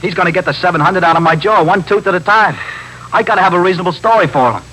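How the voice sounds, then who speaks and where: An American actor playing a slangy, evasive, self-pitying small-time crook in a 1950s radio drama. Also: male voice, high voice, fast talker, bright, forceful tone. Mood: pleading. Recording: faintly noisy 1950s radio recording.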